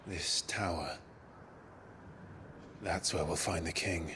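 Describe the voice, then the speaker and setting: An actor playing a grave, resolute fantasy game warrior with a British accent and measured, terse delivery. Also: male voice, fairly even intonation, pushed and bright, slight background noise, heavily acted. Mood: grim, resolute. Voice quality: deep, low voice. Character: grave, resolute male warrior, deep and brooding. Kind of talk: cinematic fantasy game acting.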